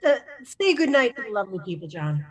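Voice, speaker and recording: A woman's voice: mid-range voice, very animated, fast. A woman acting as a playful, theatrical off-screen announcer for an online game show.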